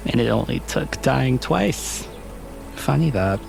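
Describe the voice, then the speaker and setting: A man playing a wry, unhurried character with a dry, teasing way of talking in a tabletop story. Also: mid-range voice, vocal fry, pitched above the performer's own voice, full character voice.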